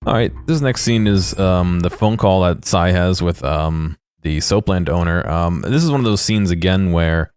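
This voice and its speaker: A man giving casual, unscripted video commentary in a hesitant, rambling, low-key way. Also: normal speed, hardly any pauses, a little mellow, clean tone.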